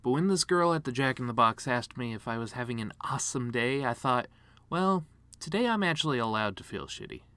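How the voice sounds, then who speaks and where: Casting: a man playing a grieving, bitter male horse delivering a eulogy monologue; medium pitch, sardonic and self-deprecating, dry recording. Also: fairly quick, clear, resonant tone, no room sound. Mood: wry, bitter. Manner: sardonic, rambling, self-deprecating deadpan humor. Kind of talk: dramatic monologue reading.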